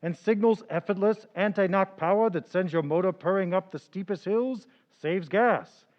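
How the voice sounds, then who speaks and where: A man reading an old-time radio gasoline commercial with a brisk, upbeat salesman delivery. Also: high-pitched, close to an everyday voice. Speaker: announcer. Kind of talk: vintage radio commercial read. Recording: dull lo-fi old recording.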